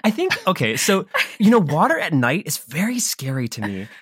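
A man's voice: fairly high pitch, big pitch swings, moderate pace, slightly rough, no room sound. A man speaking as a creative guest in an interview, explaining things casually.

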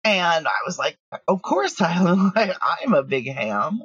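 Middle aged lady, husky, chatty interview guest telling stories, kinda dull remote audio. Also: on the low side, sing-song, slow. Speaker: guest, audio drama writer and producer. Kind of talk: casual interview chat.

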